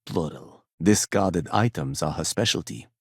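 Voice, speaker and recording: A deep-voiced, fussy corporate stickler. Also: male voice, expressive, measured pace, gravelly, breathy edge, dry recording, studio quality, exaggerated voice. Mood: dry disdain. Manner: precise, formal, clipped, dryly condescending. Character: fussy, punctual, condescending male corporate office worker. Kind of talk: video game voice acting.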